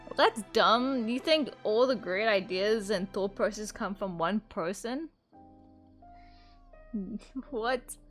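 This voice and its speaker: A woman's voice: mid-range voice, slow pace, a bit bright, soft background music. A young woman streamer giving casual, chatty commentary on a game, giggling and filling her talk with "like". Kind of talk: casual let's-play commentary.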